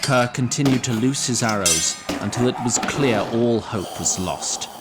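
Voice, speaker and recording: A man with a medium-pitched voice narrates dramatic fantasy. His delivery is deliberate and solemn, clearly scripted. Music plays prominently behind him. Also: lively intonation.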